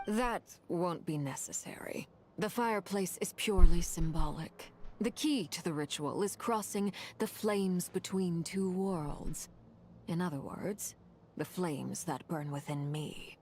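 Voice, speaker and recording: An actress voicing a composed, commanding adult woman in a game, speaking in a calm, measured, formal way. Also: on the low side, slight background noise.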